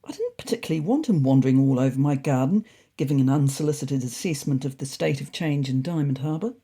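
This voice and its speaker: A narrator reading a scripted first-person animal story in an expressive, wryly dramatic way. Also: mid-range voice, fast, slightly rough.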